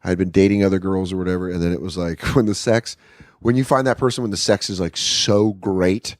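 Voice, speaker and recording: Low-voiced man telling candid stories. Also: steady tone, a little fast, darkish tone, dry recording, studio quality. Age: 30s. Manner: candid, profane storytelling with acted-out quotes. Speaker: comedian guest. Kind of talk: casual podcast chat. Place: apartment.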